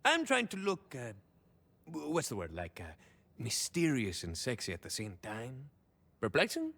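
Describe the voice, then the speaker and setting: Cocky cyborg ex-soldier, Mexican-American accent, hugely swooping intonation, mid-range pitch, rambling, theatrical, video game monologue. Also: male voice, huge pitch swings, normal speed, sharp tone, heavily acted. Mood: vain, playful. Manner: rambling, hesitant, theatrical, peppering talk with Spanish. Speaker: playable game character.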